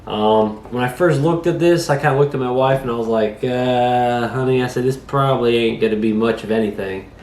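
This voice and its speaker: A man's voice: medium pitch, a little fast, hardly any pauses, darkish tone, echoey room, slight background noise. A man recovering from a cold, hosting a casual drone review from his kitchen table in a folksy, chatty way with lots of fillers. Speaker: host of a drone review channel.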